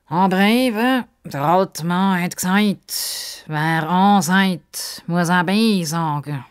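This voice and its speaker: A woman voicing a grumbling, dramatic male talking crocodile in a children's story, with drawn-out, sighing delivery. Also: lowish voice, clean tone, no room sound, studio quality. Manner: theatrical, drawn-out, sighing complaints.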